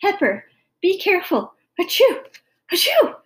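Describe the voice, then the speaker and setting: A woman's voice: a little high, animated, normal speed. A woman voicing a long-suffering, exasperated mother in a story, chiding in a sighing manner. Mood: alarmed, pained.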